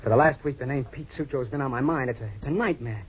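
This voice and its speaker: An actor playing an anxious retired ex-fighter who stammers and pleads, in 1940s radio acting. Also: male voice, mid-range voice, quick pace, breathy edge, lightly acted. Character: anxious retired ex-fighter, plump, haunted by a name. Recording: dull old radio recording.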